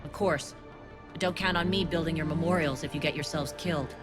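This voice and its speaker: A woman's voice: low-pitched, steady tone, fairly quick, natural voice. A woman plays a female resistance commander in a game, firm and earnest, protective of her people. She reports in a soldierly way with music swelling behind her. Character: principled, resolute female militia leader, protective of civilians. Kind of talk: video game cutscene acting.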